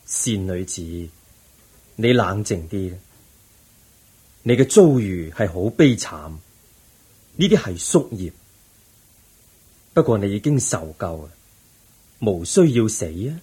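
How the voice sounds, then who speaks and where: An actor playing a calm, compassionate Buddha in a Cantonese radio drama, patient and solemn, with a Hong Kong accent. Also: male voice, on the low side, slow pace, long pauses, breathy edge, full character voice.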